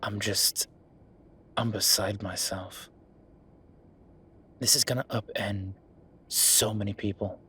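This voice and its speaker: Low-voiced, weary werewolf boyfriend played by a man. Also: steady tone, slow pace, long pauses, bright, forceful tone. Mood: tired, exasperated. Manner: conversational, sighing, world-weary. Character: weary, frustrated male werewolf official, affectionate boyfriend. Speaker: voice actor in audio roleplay. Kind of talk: intimate boyfriend roleplay audio acting. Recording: clear background noise.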